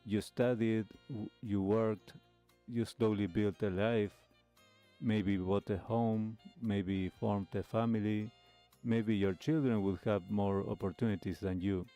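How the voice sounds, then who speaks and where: A man with a low, dark and mellow voice speaks as a talk show host. He explains things in a measured commentary style, with music playing behind him. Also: fairly even intonation. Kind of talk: reaction commentary.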